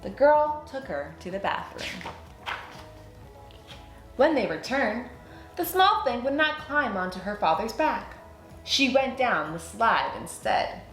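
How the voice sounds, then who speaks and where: A woman narrating a children's storybook as a read-aloud host, with expressive, clearly phrased delivery. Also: a little high, slow pace, long pauses. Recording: roomy sound, music underneath.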